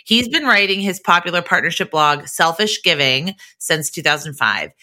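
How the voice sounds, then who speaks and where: Bright, low-pitched American woman hosting a podcast. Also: animated, moderate pace. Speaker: podcast host.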